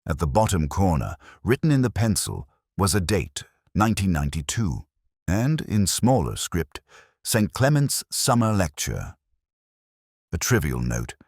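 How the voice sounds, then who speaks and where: A man playing a melancholy, reflective police inspector, narrating his case in a measured, literary way like an audio drama. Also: a bass voice, husky, dry recording, studio quality, full character voice. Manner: measured, literary, brooding delivery. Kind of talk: scripted first-person detective audio drama narration.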